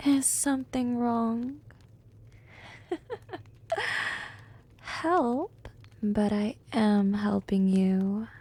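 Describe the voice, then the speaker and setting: A woman playing a seductive, commanding vampire in an ASMR roleplay, breathy and whispery, coaxing and teasing in a hypnotic manner. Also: on the high side, warm tone, heavily acted. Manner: slow, hypnotic, coaxing, dominant.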